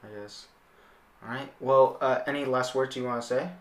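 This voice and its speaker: A young man hosting a casual podcast interview, speaking haltingly and repeating words as he thinks. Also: mid-range voice, fairly even intonation, fairly bright tone. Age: young adult. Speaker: podcast host. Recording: roomy, echoing sound.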